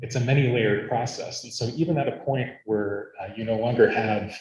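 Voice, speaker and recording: A man from Arizona, whose first language is Latvian, giving a measured conference lecture with frequent fillers. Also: medium pitch, a little mellow, reverberant, rough recording. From Arizona, United States. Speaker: academic speaker.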